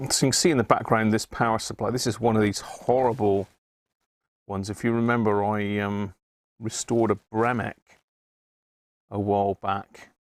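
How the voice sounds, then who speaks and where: A man with an English accent and a gravelly voice, hosting a hobby electronics live stream in a chatty, rambling way, thinking aloud as he works. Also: on the low side, little pitch movement, moderate pace, no room sound. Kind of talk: casual live-stream chat while working at a bench.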